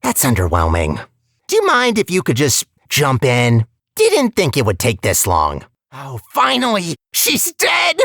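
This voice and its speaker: A woman's voice: rumbling low, wildly swooping pitch, measured pace, creaky, fairly bright tone, dry recording, studio quality, exaggerated voice. A woman voicing a scheming, sarcastic AI villain in a video game, chatty and mock-polite in a theatrical way. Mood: exasperated. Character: female scheming AI villain, breezily cheerful, sarcastic and murderous. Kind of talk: video game character dialogue.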